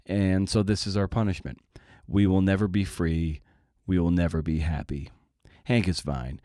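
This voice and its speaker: A man's voice: sits low, steady tone, normal speed, mellow, dry recording, clean studio sound. A middle-aged man hosting a podcast, rambling casually with wry, self-deprecating, sometimes profane candor. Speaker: podcast host.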